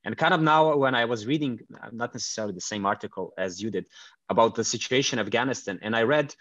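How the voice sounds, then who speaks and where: Male guest from Croatia, rapid delivery full of restarts; muffled, dry remote recording. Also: mid-range voice, fairly bright tone, no room sound. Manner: fast, hesitant, many restarts and fillers. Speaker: crypto company founder, guest. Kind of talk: conversational interview answers.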